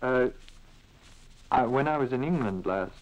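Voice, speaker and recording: A man conducting an unscripted interview, asking polite, roundabout questions in a halting way. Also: medium pitch, animated, highs cut off. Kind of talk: unscripted radio-style interview.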